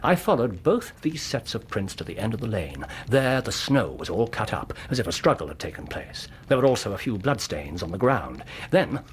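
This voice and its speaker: An English actor in a radio drama playing a brilliant, self-assured detective who lays out deductions in precise, theatrical storytelling. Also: male voice, fairly low pitch, big pitch swings, normal speed, hardly any pauses, slight background noise. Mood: brisk, intent.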